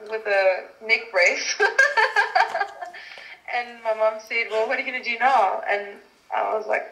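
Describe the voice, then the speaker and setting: A woman's voice: a little high, fairly even intonation, unhurried, gravelly, a bit bright. A woman from South Africa, a guest actress telling stories in a casual interview, chatty and laughing often. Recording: echoey room.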